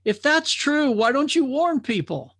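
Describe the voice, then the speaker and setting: A man's voice: very high-pitched, very animated, unhurried, fairly bright tone, pitched above the performer's own voice, full character voice. A man voicing young, skeptical drifters in a storybook parable, with a brash, questioning delivery. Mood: incredulous, challenging. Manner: questioning, incredulous.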